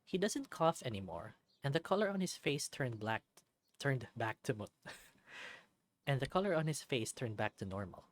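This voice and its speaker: Expressive visual novel narrator, very varied pitch. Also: normal speed. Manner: expressive storybook reading with light asides. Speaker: let's play narrator. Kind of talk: reading a visual novel aloud.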